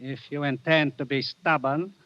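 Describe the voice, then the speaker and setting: A man's voice: fairly high pitch, fairly even intonation, moderate pace, bright, forceful tone, dry recording. An actor playing a menacing foreign henchman with formal, coolly polite speech in a 1950s radio drama. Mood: cruel, commanding. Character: menacing, courteous male foreign henchman with gun. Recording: dull, noisy vintage radio broadcast.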